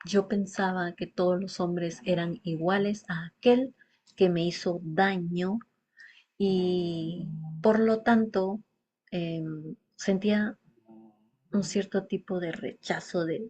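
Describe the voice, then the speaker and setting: Low-voiced woman in reflective confessional monologue. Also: steady tone, takes it slowly, a little mellow. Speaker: speaker telling a personal life story.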